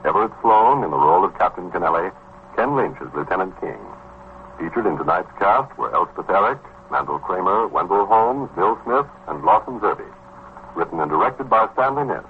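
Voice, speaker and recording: An American man announcing a scripted vintage radio program in a formal, measured style. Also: low-pitched, expressive. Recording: dull, slightly noisy vintage radio recording.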